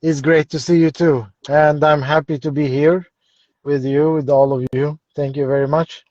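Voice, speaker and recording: A man's voice: fairly high pitch, flat delivery, moderate pace, darkish tone, dry recording. A middle-aged man with an Egyptian accent talking casually about himself as an interview guest, hesitant and agreeable. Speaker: guest, English instructor. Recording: dull, dry live-stream audio.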